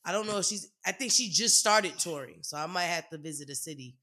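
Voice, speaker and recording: A podcast co-host in casual chat who talks bluntly and reacts quickly. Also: medium pitch, bright, forceful tone.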